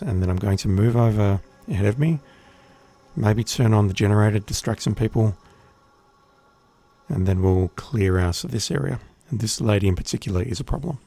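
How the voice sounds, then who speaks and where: Gravelly Australian man streaming games. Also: lowish voice. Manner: relaxed, chatty, wry. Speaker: game streamer. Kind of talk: casual gameplay commentary.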